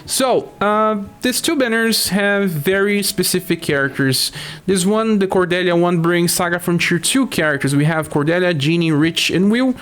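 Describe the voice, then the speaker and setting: A man with a Brazilian Portuguese accent and a sore throat from the flu, hosting his gaming channel and explaining game news in a casual way with many pauses. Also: high-pitched, fairly bright tone, smooth. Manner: explanatory, talks through stats with frequent "uh" pauses.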